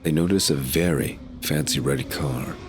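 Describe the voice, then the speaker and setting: A man's voice: extremely low pitch, normal speed. A man narrating a scripted audio drama in the present tense, as a storyteller. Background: music.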